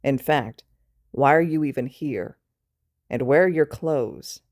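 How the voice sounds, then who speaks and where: Woman doing a grumpy worn-out teacher guy, low flat deadpan voice, slow. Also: no echo, studio quality, full character voice. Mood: stern. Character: tired, grumpy adult male teacher. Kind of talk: fan fiction read aloud.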